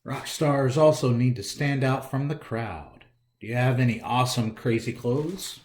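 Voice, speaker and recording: A man's voice: mid-range voice, unhurried, darkish tone. An American man hosting a storytime, reading a picture book aloud in a theatrical way and talking directly to young listeners. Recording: roomy, echoey indoor sound.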